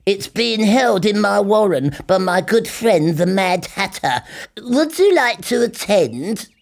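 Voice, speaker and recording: Man putting on a high, courteous rabbit gentleman. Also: big pitch swings, slow, gravelly, full character voice. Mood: courteous, brisk.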